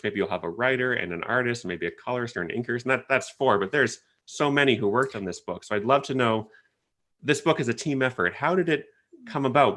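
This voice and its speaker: A man from Canada, moderating an online panel in a relaxed, chatty way with hesitant fillers, over a video call. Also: mid-range voice. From Ottawa, Canada.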